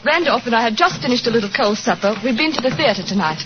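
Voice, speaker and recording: A woman's voice: a little high, expressive, quick pace, lightly acted. An actress playing a young woman in a 1940s radio mystery, speaking politely and composedly. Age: young adult. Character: young woman, gentle but resolute cousin. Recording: dull lo-fi 1940s radio broadcast with faint hiss.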